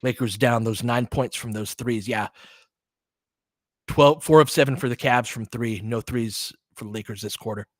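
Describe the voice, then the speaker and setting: A man's voice: medium pitch, normal speed, no room sound, studio quality. A man doing casual live basketball play-by-play and analysis, mixing short clipped phrases with conversational asides as a partisan fan of the team.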